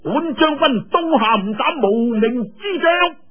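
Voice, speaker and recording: A man voicing a swaggering, cheeky mock army general in a husky, pompous audiobook performance. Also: extremely high pitch, expressive, pitched above the performer's own voice, exaggerated voice. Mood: mocking bluster. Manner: pompous, drawn-out blustering. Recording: dull, lo-fi radio-like audio.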